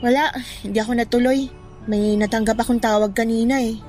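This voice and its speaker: A woman's voice: fairly high pitch, steady tone, a little fast, a little mellow, faint music behind, close to an everyday voice. A woman playing a young best friend in a radio drama. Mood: troubled. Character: playful, teasing young woman, boy's childhood best friend.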